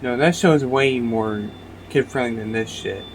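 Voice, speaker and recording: A man's voice: medium pitch, normal speed, gravelly, background noise. A man giving casual, blunt, foul-mouthed off-the-cuff reaction commentary. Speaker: reaction video host.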